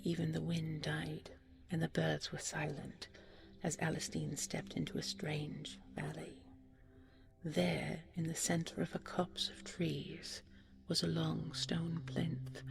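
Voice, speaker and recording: A woman reading a fairy tale in a gentle, hushed bedtime manner that often drops close to a whisper. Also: low voice. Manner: gentle, hushed, breathy delivery often falling to near-whisper. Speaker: storyteller, audio roleplay voice actor. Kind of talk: scripted fairy-tale narration, soft sleep-aid bedtime storytelling.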